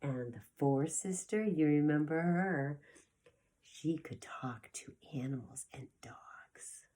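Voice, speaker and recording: Breathy, low-voiced woman reading to children. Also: takes it slowly. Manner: addresses children directly, expressive with gasps and sound effects. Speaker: preschool teacher reading aloud. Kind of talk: animated children's read-aloud storytelling.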